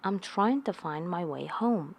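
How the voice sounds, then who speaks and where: A woman's voice: lowish voice, expressive, a little slow, slight background noise. A woman voicing a polite, earnest young girl in a storybook, with a pleading manner. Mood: wistful.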